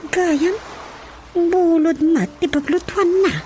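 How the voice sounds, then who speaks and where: A woman acting a high, slow, puzzled Ilocano housewife. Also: steady tone. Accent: Filipino, Ilocano. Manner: questioning, exclamatory. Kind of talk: Filipino radio drama comedy acting. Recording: music over the voice.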